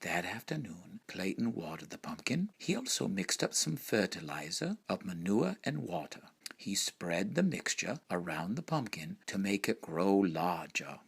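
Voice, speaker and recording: A man reading a children's story aloud in an expressive way, with dramatic emphasis and hushed phrases. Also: sits low, a little slow, gravelly, hardly any pauses, husky. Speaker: storybook reader. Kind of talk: animated children's story narration.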